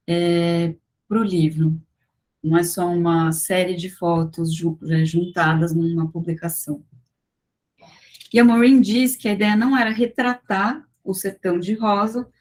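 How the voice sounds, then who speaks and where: Mulher em palestra acadêmica, pausada. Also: female voice, on the low side. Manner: measured, with many fillers and restarts. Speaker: guest lecturer. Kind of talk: academic talk with slides.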